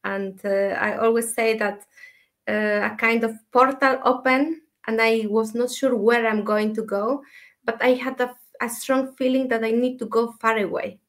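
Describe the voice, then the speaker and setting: A woman speaks softly and thoughtfully. Her voice sits fairly high, but her pitch hardly rises or falls, and she keeps her level tone. She often hesitates as she tells her story in an interview. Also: fairly even intonation, moderate pace. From Ecuador. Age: 30s. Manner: soft, reflective, many hesitations. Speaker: guest, dream mentor.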